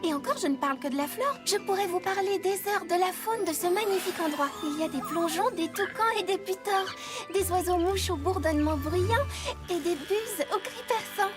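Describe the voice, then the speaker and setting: A gentle female cartoon pony, speaks timidly and apologetically. Her voice is very high and sits over music. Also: normal speed. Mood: dreamy. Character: shy, gentle female pony who loves nature. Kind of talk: animated cartoon dub.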